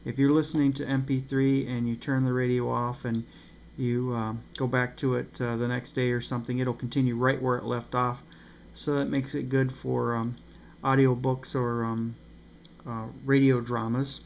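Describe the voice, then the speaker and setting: A man giving a casual, unscripted review of a radio, pausing often with ums and uhs. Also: mid-range voice, level tone, gravelly. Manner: hesitant, many ums and uhs. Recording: slightly dull with faint noise.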